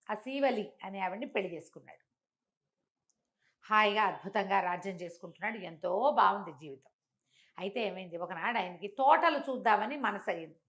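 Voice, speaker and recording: Animated older woman telling a story. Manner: animated, acts out quoted speech with big pitch jumps. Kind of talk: telling a traditional moral story.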